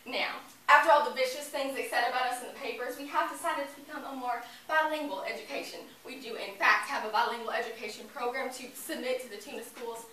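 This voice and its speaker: A high, bright-voiced church lady, played by a young woman on stage, gushes sweetly and smugly in exaggerated comic style. She is officious and two-faced, heard from far off in a very echoey room. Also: fast, hardly any pauses. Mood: pompous, officious. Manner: syrupy, exaggerated, theatrical delivery. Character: gushing, two-faced small-town Southern church lady, officious and smug. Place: indoor competition room, recorded from audience distance. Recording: roomy, distant mic, faint noise.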